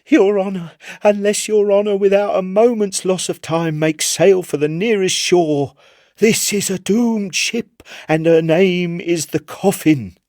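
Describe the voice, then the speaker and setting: A man's voice: sits high, fairly even intonation, a little slow, a little mellow, no echo, studio quality, voice pushed up. A man voicing a terrified young ship's carpenter in a storybook reading, pleading desperately and fervently.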